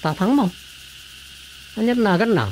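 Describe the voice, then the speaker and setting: A man's voice: high-pitched, expressive, unhurried, poor audio. A man playing a chatty, inquisitive village councillor with a Filipino accent in comedic radio drama dialogue. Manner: questioning, conversational. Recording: faint background noise.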